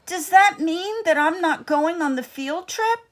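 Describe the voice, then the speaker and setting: A woman's voice: very high voice, animated, a little slow, voice pushed up. A woman voicing an eager, anxious little boy mouse, a classroom pet in a storybook, with a wishful, plaintive manner. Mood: worried.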